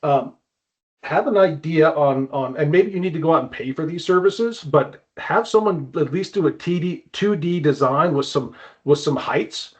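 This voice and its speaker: A man's voice: on the high side, normal speed. A man talking casually as a consultant and guest, explaining things in a conversational way. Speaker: climbing wall consultant, guest. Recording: dull, lo-fi audio.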